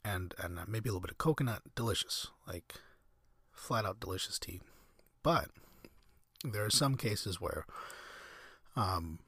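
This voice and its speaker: A man with a very low, husky voice hosts a sleep podcast, wandering through his thoughts with ums and restarts. His voice is a bit raspy from a cold, and the recording is close and dry with no room sound. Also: animated, a little slow, long pauses, a bit bright, no echo. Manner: meandering, hesitant, frequent restarts and fillers. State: recovering from a cold, slightly raspy. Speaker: podcast host. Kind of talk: soft-spoken ASMR ramble.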